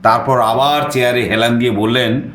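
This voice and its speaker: A man's voice: mid-range voice, fairly even intonation, normal speed, a bit bright, roomy sound, a little hiss or hum, close to an everyday voice. A man acting an eager young junior reporter who narrates his own story expressively, with a Kolkata Bengali accent. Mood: animated storytelling. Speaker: narrator and young journalist character. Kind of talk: dramatized first-person story narration.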